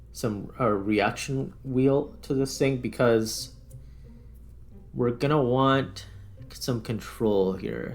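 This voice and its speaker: A man doing casual let's-play commentary, thinking aloud informally as he works through a game. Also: mid-range voice. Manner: informal, muttering to himself while working. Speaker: gaming video host, player. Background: background music.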